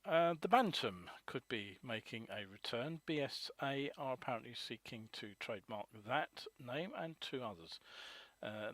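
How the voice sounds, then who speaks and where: A man hosting a live motorcycle news webcast, hoarse from a cold, rambling with many ums and uhs. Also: mid-range voice, fairly bright tone, dry recording.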